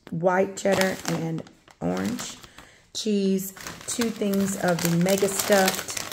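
Slow-talking gravelly woman. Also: fairly low pitch, background noise. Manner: relaxed, rambling, many "um"s while listing items. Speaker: vlogger showing a grocery haul. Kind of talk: casual show-and-tell narration. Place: home kitchen, indoors.

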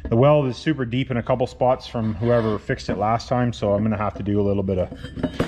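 A man's voice: mid-range voice, fairly even intonation, fast, background noise. A man talking casually through a workshop repair, rambling and correcting himself as he goes. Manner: rambling, self-correcting, plain-spoken. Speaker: welder and channel host. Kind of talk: casual workshop how-to talk. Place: cluttered workshop garage.

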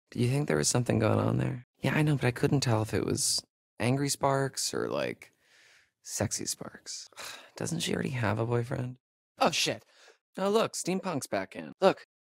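A nervous young American guy from a horror video game. His mid-pitched voice has a creaky edge and sits very dry, with no room around it. He talks in hesitant, sardonic slang, like someone who covers his nerves with wisecracks. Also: a bit bright, no echo, exaggerated voice. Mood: panicked. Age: young adult. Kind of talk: naturalistic video game acting.

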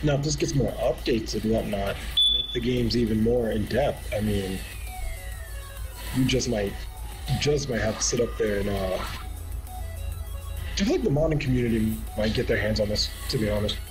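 A man's voice: on the low side, normal speed, long pauses, rough recording. A man giving casual, slangy live reaction commentary as a streamer. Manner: informal, slangy. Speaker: streamer reacting to a game showcase. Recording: game showcase audio playing behind. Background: video soundtrack with music.

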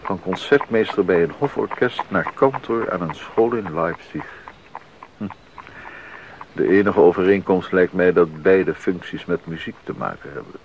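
A devout, serious composer in a radio drama, a man with a low, reflective voice. He weighs his words earnestly. The recording is dull, with faint noise behind it. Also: moderate pace. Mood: sober, resigned. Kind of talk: Dutch radio drama acting.

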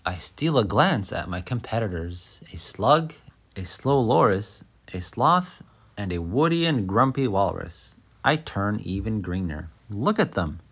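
A man reading a children's picture book aloud as an expressive, dramatic storyteller. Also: lowish voice, a little slow, husky.

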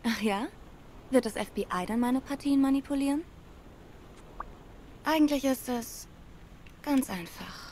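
A poised young cat woman in a noir video game. She is sardonic and cool, quick with a barb. Light ambient noise sits behind her. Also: high voice, expressive, a little mellow. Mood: defiant. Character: poised, sharp-tongued young female cat tennis star. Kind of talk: cinematic noir game acting.

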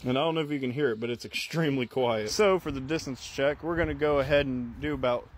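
A man's voice: mid-range voice, quick pace, a little hiss or hum. A man talking casually through a hands-on product review in a wry, matter-of-fact way.

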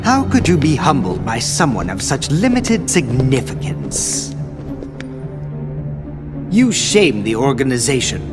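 A man's voice: mid-range voice, wildly swooping pitch, a little slow, pauses often, over loud music. An actor voicing a haughty, condescending older male scientist. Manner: condescending, precise.

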